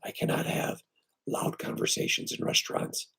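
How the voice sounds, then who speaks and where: A man with weak, breathy and raspy vocal cords, hoarse and short of breath, hosting a casual live stream from home on his computer and talking haltingly with many fillers. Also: in a whisper. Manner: halting, with many fillers and restarts, lively when greeting viewers. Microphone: computer microphone.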